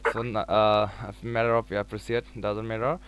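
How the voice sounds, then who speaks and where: A man's voice: lowish voice, flat delivery, brisk, a bit bright, clean tone. A man in his twenties from Bangladesh, speaking on a video call and searching for words, with many fillers and restarts. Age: 20s. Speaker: English learner chatting with a stranger online. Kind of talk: casual video chat.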